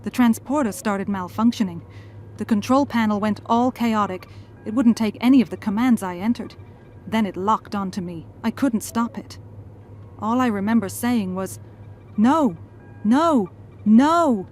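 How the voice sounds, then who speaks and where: A woman's voice: medium pitch, measured pace, darkish tone, faint music behind, close to an everyday voice. A woman playing a thoughtful, gracious scientist and mother in a fan audio drama. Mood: recalling distress. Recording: faint background noise.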